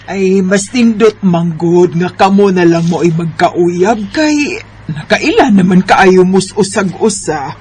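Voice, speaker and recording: A middle-aged matchmaking mother as acted by a man in a Bisaya radio drama. The voice is high for a male and drawn out, fondly nagging and coaxing. The recording carries faint noise. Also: animated, takes it slowly, darkish tone.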